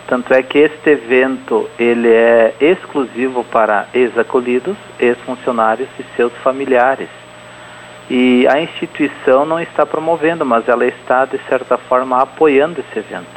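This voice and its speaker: A man in his forties gives a radio interview, talking through his answers with many fillers and restarts. His voice sits at a middle pitch, with a faint hiss of noise behind it. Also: normal speed, poor audio. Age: 40s. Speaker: interview guest, event organizer.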